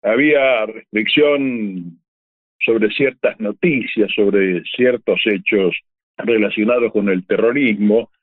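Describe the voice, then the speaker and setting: An older man with an Argentine accent, a veteran announcer reminiscing in an interview, picking his words carefully and haltingly. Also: on the low side, dry recording. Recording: dry, narrow phone-like line.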